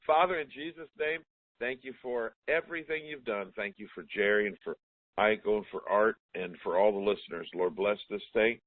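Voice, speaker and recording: A man's voice: lowish voice, moderate pace, no echo. A man who is a pastor, leading a closing prayer on a conference call after some teasing. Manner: teasing, then solemn prayer. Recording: tinny phone line.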